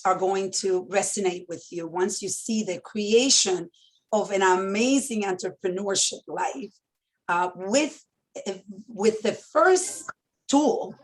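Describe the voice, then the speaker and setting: A woman's voice: mid-range voice, slow pace. Woman in her fifties telling her story. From Dominican Republic. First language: Spanish. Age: 50s. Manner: open, animated storyteller with frequent fillers. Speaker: entrepreneur guest speaker. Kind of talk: fireside chat storytelling.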